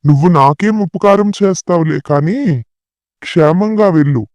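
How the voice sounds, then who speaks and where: A man voicing a vain, boastful lion king in an animated children's story, pompous and bullying. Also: a little high, moderate pace, no room sound, natural voice. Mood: grudging. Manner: pompous, threatening. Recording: music behind the voice.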